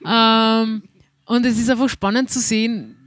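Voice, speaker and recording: A woman's voice: on the high side, steady tone, unhurried, no echo. A woman with an Austrian German accent, hosting a podcast outdoors at a music festival and introducing a guest in an upbeat, welcoming way. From Waldviertel, Austria. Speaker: podcast host.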